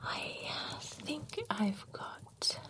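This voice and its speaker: A woman in an ASMR roleplay, whispering softly as a nosy, genteel female vampire running a spa, chatty and giggly, close to the microphone. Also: extremely slow. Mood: gossipy whisper. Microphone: close sensitive ASMR microphone.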